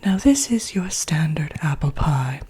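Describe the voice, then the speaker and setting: A woman playing a haughty, wry evil queen villainess who teaches a workshop in a soft-spoken, whispered ASMR roleplay. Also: low voice, expressive, slightly rough, full character voice. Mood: smug. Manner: haughty, wry, hesitant asides, deliberate.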